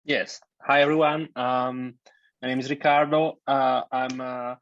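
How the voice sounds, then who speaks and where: A man's voice: mid-range voice, flat delivery, measured pace, fairly bright tone. A man with an Italian accent introducing himself on a video call, hesitant and full of "uh" fillers. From Italy. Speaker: book club member introducing himself. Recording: dry, dull lo-fi call audio.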